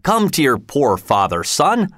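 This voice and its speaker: Man putting on an old king, fatherly, soothing, slow, lively pitch, storybook. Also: no room sound, studio quality, heavily acted. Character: stern old king, gentle with his son.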